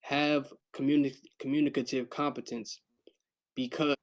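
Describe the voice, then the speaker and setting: A man student recording a video presentation for class, speaking in deliberate, measured phrases. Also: mid-range voice, level tone.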